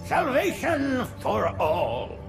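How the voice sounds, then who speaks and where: An ancient, frail alien priest-ruler with a high, quavering, creaky voice. He preaches with fervent reverence, his pitch sweeping up and down. Music swells under him throughout. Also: wildly swooping pitch, drawn-out words, exaggerated voice. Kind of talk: video game cutscene acting. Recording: game soundtrack with music behind.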